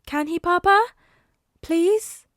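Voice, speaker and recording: A woman voicing an excitable little boy who chatters eagerly and gushes. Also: slow, no room sound, clean studio sound, heavily acted. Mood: excited. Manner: breathless, gushing, high-pitched.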